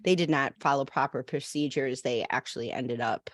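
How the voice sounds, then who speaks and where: A woman co-hosting a casual chat, talking in a sympathetic, expressive way. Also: a bass voice, moderate pace, no room sound, clean studio sound. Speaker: podcast co-host.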